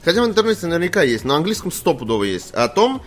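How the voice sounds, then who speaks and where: A man's voice: fairly high pitch, sing-song, racing, a little hiss or hum. A man hosting a games news stream, talking casually in a slangy, opinionated, rambling way. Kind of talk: casual live stream chat.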